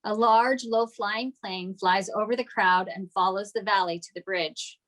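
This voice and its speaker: A woman with a medium-pitched voice narrates stage directions. She reads from a script in the plain manner of a table read. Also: fairly even intonation, measured pace, a bit bright, poor audio.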